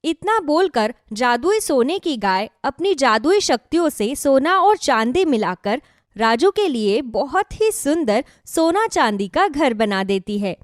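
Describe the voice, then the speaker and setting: A woman reads a scripted children's story as narrator. Her pitch is on the high side and swings expressively. The recording is dry. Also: big pitch swings, a little slow, dry recording.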